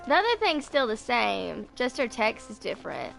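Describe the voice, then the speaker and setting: Casting: woman, 20s, casual game streamer, bright forceful tone with noticeable fry, rambling delivery. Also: a little high, wildly swooping pitch, normal speed, soft background music, poor audio. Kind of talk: casual live gameplay chat.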